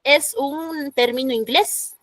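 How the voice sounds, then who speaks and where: A woman's voice: high-pitched, takes it slowly, no echo, studio quality. A woman teacher with a Peruvian Spanish accent gives a classroom lecture. She explains patiently and keeps checking in with her students through short tag questions.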